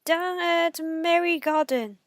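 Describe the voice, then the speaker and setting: Woman acting out a loud, scheming storybook wolf. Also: very high-pitched, slow pace, creaky, no echo, clean studio sound, voice pushed up, exaggerated voice. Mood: coaxing. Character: sly, scheming big bad wolf, male, menacing. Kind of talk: bedtime storybook character voice.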